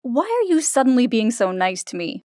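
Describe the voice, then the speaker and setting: A guarded princess, played by a woman, with a fairly high voice. She is reserved and questioning, in the style of a comic dub, recorded very dry. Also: very animated, moderate pace, mellow, dry recording. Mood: suspicious. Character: wary, reserved adult fairy-tale princess.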